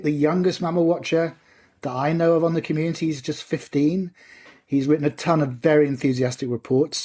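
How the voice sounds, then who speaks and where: A man's voice: mid-range voice, mellow, husky. A man co-hosting a podcast over a video call, casually introducing his guests. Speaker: podcast co-host.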